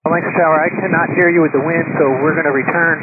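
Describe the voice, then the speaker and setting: Very rapid male pilot on tinny radio. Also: on the high side, flat delivery. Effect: aircraft radio. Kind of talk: clipped aviation radio call to the tower. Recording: tinny, noisy air traffic radio recording.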